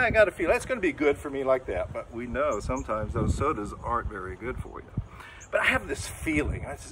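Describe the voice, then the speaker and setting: Animated older man giving a children's sermon outdoors. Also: on the high side, a little hiss or hum. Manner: lively storytelling, acts out inner voices, gestures a lot. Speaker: children's sermon leader at a church. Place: outdoors in front of a brick church building. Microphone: camera a few metres away, standing full figure.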